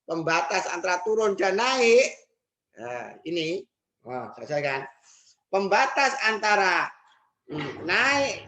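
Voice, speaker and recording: A male math teacher explains exam problems one step at a time. He poses his own questions and answers them right away. He goes back over each step, and every so often his voice sinks into a soft aside to himself. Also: a little high. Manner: thinks aloud, repeats steps, quiet muttered asides. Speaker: high school math teacher.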